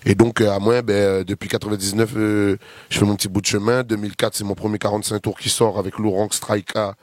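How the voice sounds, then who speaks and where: A man's voice: on the low side, little pitch movement, quick pace, no echo. A man in his thirties from Réunion with a Réunionese French accent, chatting casually as a guest artist and rambling through long lists with many fillers. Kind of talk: casual interview chat.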